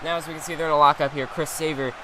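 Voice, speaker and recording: Excitable young man calling a game. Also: a little high, rapid-fire, noisy background. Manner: excitable, rambling play-by-play with frequent restarts. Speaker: let's-play commentator. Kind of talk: play-by-play game commentary.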